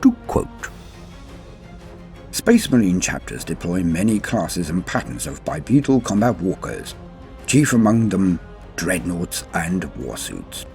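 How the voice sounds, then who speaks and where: Man, lore show host, theatrical formal narration, very animated intonation, gravelly fry. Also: deep voice, measured pace, soft background music. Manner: theatrical, formal and florid wording.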